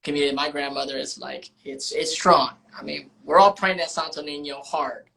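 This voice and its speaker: A woman's voice: low voice, fairly even intonation, moderate pace, creaky, fairly bright tone, slight background noise, poor audio. A woman from the United States hosting a film club chat, loose and chatty with lots of fillers and restarts. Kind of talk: casual livestream chat.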